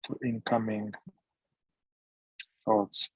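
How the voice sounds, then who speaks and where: Slow, low-voiced man guiding meditation. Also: flat delivery, breathy edge, no echo, rough recording. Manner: gentle, sparse phrases with long pauses. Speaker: meditation teacher. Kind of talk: guided meditation, mindfulness instruction.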